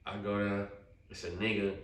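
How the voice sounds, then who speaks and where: Laid-back young man telling a story, echoey room. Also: moderate pace. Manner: animated, slangy, acts out quotes in a high voice. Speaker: podcast guest. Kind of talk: casual storytelling. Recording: roomy echo, distant mic.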